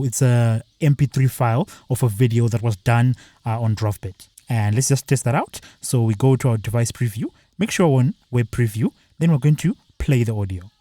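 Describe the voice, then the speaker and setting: Mellow-voiced man explaining software steps. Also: medium pitch, no echo. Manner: plain step-by-step explaining, with fillers. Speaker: software tutorial presenter. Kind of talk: screen-recorded software walkthrough.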